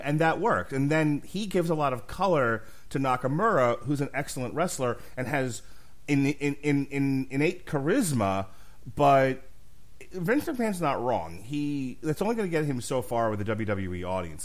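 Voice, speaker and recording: A man's voice: mid-range voice, expressive, moderate pace. A man hosting a casual podcast, speaking bluntly and profanely with strong opinions. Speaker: podcast host.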